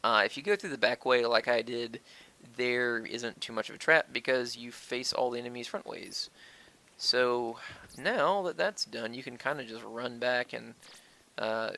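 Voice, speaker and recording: A man hosts a casual game playthrough in a bright, forceful voice with plenty of gravelly fry. He wanders off on tangents and swears freely. The audio comes from an old, slightly wonky stand-by microphone. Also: medium pitch. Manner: rambling tangents, crude swearing. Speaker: gaming video host. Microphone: old stand-by mic.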